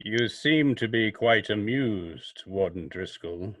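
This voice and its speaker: An actor playing a sly, menacing invisible crime fighter in a radio drama, speaking slowly and formally with a mocking edge. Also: male voice, on the low side, lively intonation, fairly bright tone, dry recording, full character voice. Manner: slow, deliberate, mocking, formal. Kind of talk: old-time radio drama acting.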